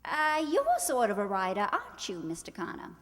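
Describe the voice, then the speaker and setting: A woman's voice: mid-range voice, huge pitch swings, brisk. A woman acting a haughty, gushing society bride in a radio play, with a grand, affected manner. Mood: gushing, arch. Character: haughty, sharp-tongued society bride playing gushing hostess. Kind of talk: radio play acting of a 1940s screwball comedy. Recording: reverberant hall stage recording.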